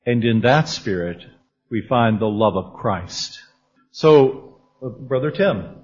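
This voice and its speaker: Slow, husky-voiced man leading discussion. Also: mid-range voice, lively intonation, a little mellow, muffled recording. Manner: measured, calls on others by name. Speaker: discussion leader. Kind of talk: church panel discussion.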